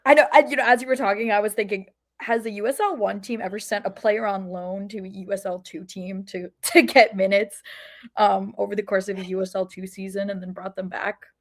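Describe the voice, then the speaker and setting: A woman's voice: mid-range voice, fairly even intonation, fairly quick. A woman co-hosting a soccer podcast in a casual, conversational way. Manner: informal, conversational. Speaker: podcast co-host.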